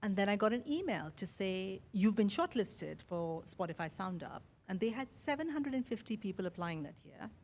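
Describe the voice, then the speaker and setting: A middle-aged woman with an Indian accent, a mix of Mumbai, Kerala and London, telling a personal story in a chatty, animated way as a podcast host. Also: mid-range voice, moderate pace, few pauses. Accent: Indian English, Mumbai and Kerala with London influence. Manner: chatty, animated, quotes her own thoughts.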